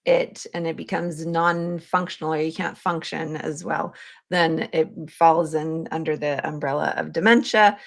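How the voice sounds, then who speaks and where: Slow, creaky-voiced woman hosting. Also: deep voice, steady tone. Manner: informal, thinking aloud while rephrasing. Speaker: podcast host. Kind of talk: conversational interviewing.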